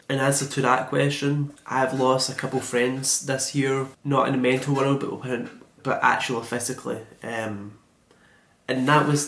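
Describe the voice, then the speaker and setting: Young dude vlogging about his birthday, earnest and rambly, kinda flat and gravelly. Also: mid-range voice, roomy sound. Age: young adult, about 18 to 19. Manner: earnest and reflective, rambling with many "like"s. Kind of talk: earnest self-reflective birthday vlog. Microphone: camera mic.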